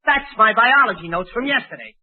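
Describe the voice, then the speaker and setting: A tinny vintage radio recording carries a very high, squeaky male voice. an earnest teenage schoolboy, whining and protesting in broad 1940s sitcom style. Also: animated, brisk. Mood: exasperated. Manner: whiny protesting. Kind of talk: 1940s radio situation comedy acting. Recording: muffled, narrow-band 1940s radio recording.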